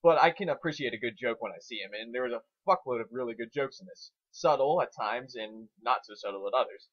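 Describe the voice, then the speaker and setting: A man's voice: mid-range voice, fast talker. Male co-host, casual and opinionated reviewer, rambling and foul-mouthed, on a dull lo-fi recording. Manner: rambling, crude swearing. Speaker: co-host reviewing fan fiction.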